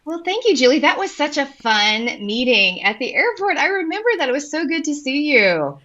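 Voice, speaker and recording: Bright woman in her forties, from Indiana, upbeat, slightly dull lo-fi sound. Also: high voice, sing-song, normal speed. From Indiana, US Midwest. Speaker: podcast guest, women's ministry leader. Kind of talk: casual interview chat.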